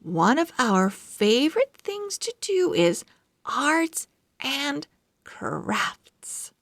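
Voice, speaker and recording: A woman voicing a proud, excitable little male pug telling his diary in a children's storybook, with dramatic, earnest phrasing. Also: sits high, extremely slow, no echo, clean studio sound, full character voice. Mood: affectionate, excited. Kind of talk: children's storybook character narration.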